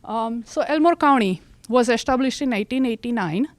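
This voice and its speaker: A middle-aged woman from India with an Indian accent, presenting as a government official in a polite, measured, explanatory way. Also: a little high, no room sound. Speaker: county government director presenting. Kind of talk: prepared presentation to an audience.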